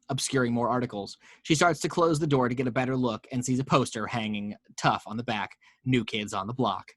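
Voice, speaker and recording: A man's voice: mid-range voice, a bit bright, no echo. A man narrating the action lines of a screenplay table read, with wry, playful asides.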